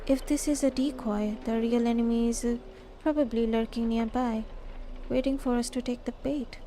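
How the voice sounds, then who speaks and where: A woman's voice: a little high, fairly even intonation, normal speed, warm tone, slight background noise. A woman streamer reading a game's story dialogue aloud. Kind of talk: live stream read-aloud of game script. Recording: faint game music behind. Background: faint music.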